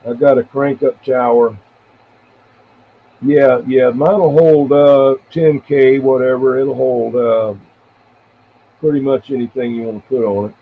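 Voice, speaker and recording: An American man in his seventies chatting casually on CB radio in a halting, rambling, reminiscing way. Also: mid-range voice, steady tone. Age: 70s. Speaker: CB radio operator and live streamer. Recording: tinny band-limited radio audio with faint noise.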